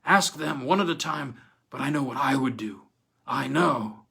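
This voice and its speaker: A man voicing a bitter, despairing blinded prisoner in chains, halting and snarling as he repeats himself in a storybook reading. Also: medium pitch, expressive, gravelly, sharp tone, slightly rough, exaggerated voice.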